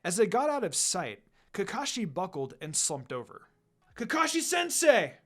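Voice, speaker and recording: Expressive man narrating a story over music. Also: high voice, normal speed. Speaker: fan fiction reader. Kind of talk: scripted narration, dramatic story reading. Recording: music under the voice. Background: background music.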